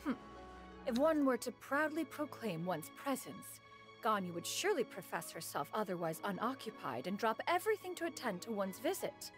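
A woman's voice: fairly high pitch, very animated, moderate pace, soft background music, poor audio. A woman voicing a proud, aloof immortal mentor in an anime game, stately and archaically formal. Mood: aloof, instructing. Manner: stately, haughty, calls herself "one". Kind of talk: anime video game acting.

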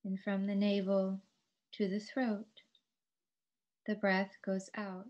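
A woman yoga teacher leading a guided relaxation in a calm, soothing, measured way, with long pauses between phrases. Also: mid-range voice, muffled recording.

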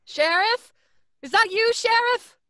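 An actress playing a grave, guarded doctor in an old-time radio drama, measured and hesitant. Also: female voice, very high-pitched, animated, sharp tone, no echo, studio quality, exaggerated voice. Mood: urgent. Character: grave, guarded woman doctor bearing grim news. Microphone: large studio condenser microphone.

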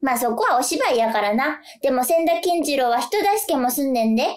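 A woman's voice: high-pitched, lively intonation, fairly quick. A woman playing a cheeky, stagestruck young schoolgirl with a pushy, childlike manner and a Kansai accent in a radio drama. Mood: curious, admiring.

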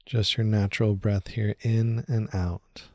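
A man leading a guided meditation in a soothing, unhurried way, speaking in short phrases with long pauses. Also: fairly low pitch, little pitch movement, darkish tone, no echo, clean studio sound.

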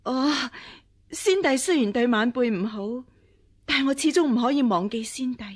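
An actress in a radio drama playing a frightened, scheming fake empress who pleads and stammers. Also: female voice, high voice, lively intonation, normal speed. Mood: nervous, meek. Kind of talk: Cantonese radio drama acting.

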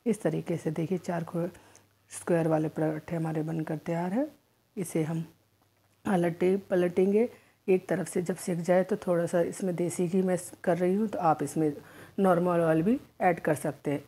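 Husky, mellow woman explaining recipes. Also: deep voice. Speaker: home cook, cooking channel host. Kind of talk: step-by-step recipe voice-over.

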